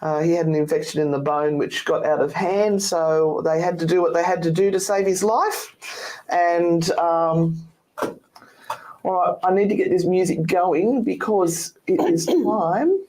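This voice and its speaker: An older Australian woman co-hosting a radio show, hoarse from a cold, chatty and joking in studio banter. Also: on the low side, measured pace, dark tone, a little hiss or hum, rough recording. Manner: chatty, joking, breaks into laughs. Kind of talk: casual banter on air.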